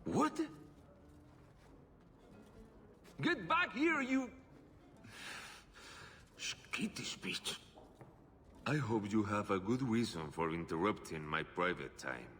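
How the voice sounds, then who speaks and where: Game villain: lecherous, domineering thug, low voice, slow delivery, noisy ambience behind. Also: male voice, huge pitch swings, sharp tone, heavily acted. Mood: angry, irritated. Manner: domineering, leering. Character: menacing, lecherous male criminal henchman. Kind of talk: video game voice acting.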